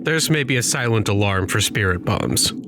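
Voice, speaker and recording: A man speaking as a player in a tabletop roleplaying game, pitching ideas in casual chat. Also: expressive, normal speed, background noise. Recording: clear background music under the voice. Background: background music.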